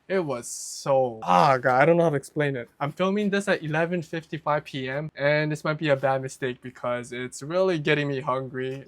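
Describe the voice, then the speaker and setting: A man's voice: a little high, dry recording. Casual young American man, very dry sound. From Hawaii, United States. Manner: informal, conversational, mild slang. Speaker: vlogger presenting a list of favourite foods. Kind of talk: casual talk to camera.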